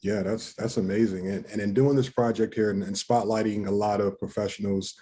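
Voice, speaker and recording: A man's voice: on the low side, steady tone, brisk, mellow, husky. An American man from Philadelphia hosting a conversational interview. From North Philadelphia, United States. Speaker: interviewer, host.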